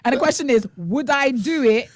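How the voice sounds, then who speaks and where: Fast-talking woman, emphatic. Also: high voice, animated, crowd noise. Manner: opinionated, emphatic. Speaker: co-host of a morning radio show. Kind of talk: casual chat and debate.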